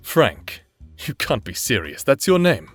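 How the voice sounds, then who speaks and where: A man's voice: mid-range voice, big pitch swings, unhurried, fairly bright tone, faint music behind. A man playing a proper, guarded new prisoner in an audio drama, serious and formally spoken. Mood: wary, dry. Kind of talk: audio drama character acting.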